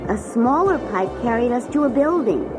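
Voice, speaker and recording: A woman plays a schoolchild telling a storybook adventure with wide-eyed wonder. The voice is fairly high and very animated, with music clearly playing behind it. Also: moderate pace, natural voice. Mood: wide-eyed recounting. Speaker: narrator. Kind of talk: scripted children's story narration.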